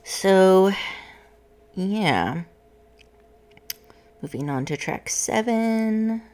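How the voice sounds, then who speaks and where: A woman's voice: mid-range voice, expressive, very slow, a little mellow. A woman, a voice student, chatting casually and explaining her practice step by step between vocal exercises.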